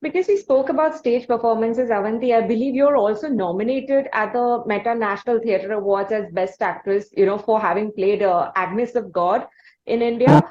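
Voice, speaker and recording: A woman's voice: on the high side, moderate pace, a little mellow. Hesitant woman host on a roomy, dull line. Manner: hesitant phrasing with many uhs and restarts. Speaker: interview host. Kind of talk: interview questions.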